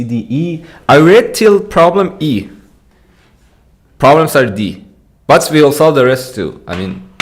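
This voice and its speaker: Slow-talking Iranian man streaming. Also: medium pitch, darkish tone. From Iran. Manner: chatty, repeats words, answers viewer comments. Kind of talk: casual livestream chat.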